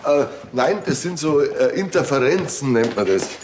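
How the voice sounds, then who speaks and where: An actor playing a casual, self-justifying tech geek in a TV crime comedy, a little hesitant. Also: male voice, medium pitch, animated, moderate pace, background noise, close to an everyday voice. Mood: defensive. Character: laid-back, evasive male tech geek helper.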